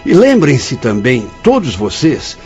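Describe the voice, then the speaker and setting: An actor playing a kindly, solemn spirit mentor in a radio drama, speaking in a measured, paternal, preaching way. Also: male voice, medium pitch, wildly swooping pitch, darkish tone, lo-fi sound, soft background music, full character voice. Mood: fatherly, encouraging.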